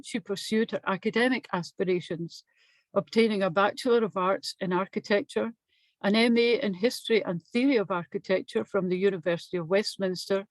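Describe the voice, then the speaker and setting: An older woman introduces the speakers in a calm, even-paced reading. Her voice sits in the middle range. It is dry and close, with no room echo, as if spoken over a video call. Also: medium pitch. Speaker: dialogue facilitator. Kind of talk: formal introductions.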